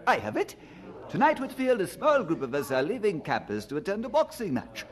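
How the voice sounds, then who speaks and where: An actor playing an idle, mocking young Oxford gentleman with an affected, sneering manner in a radio drama. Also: sits high, wildly swooping pitch, a little fast.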